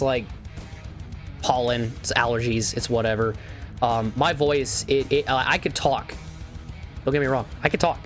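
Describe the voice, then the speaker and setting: A hoarse young man losing his voice, rambling earnestly with stumbles and restarts as he wraps up a sim racing commentary. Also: mid-range voice, fairly bright tone. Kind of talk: casual outro after play-by-play commentary.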